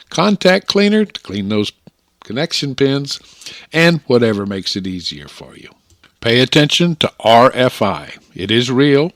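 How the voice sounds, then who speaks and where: A man's voice: medium pitch, no echo. Plainspoken American man, dry close-miked narration. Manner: plainspoken, folksy, deliberate phrasing. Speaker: amateur radio hobbyist presenter. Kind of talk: instructional slideshow narration. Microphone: close broadcast-style microphone.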